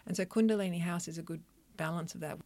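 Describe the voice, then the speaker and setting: A woman who practises Chinese medicine, answering interview questions in an earnest, thoughtful, soft-spoken way. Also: on the low side, fast. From Australia, country Victoria.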